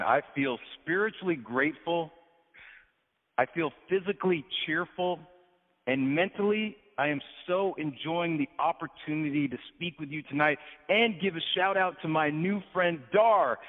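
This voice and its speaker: An upbeat, gracious man, a guest on a radio show, talks expressively in a slightly high voice. His voice comes through a tinny phone line with a long, hollow reverb. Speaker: interview guest. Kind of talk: casual radio interview chat.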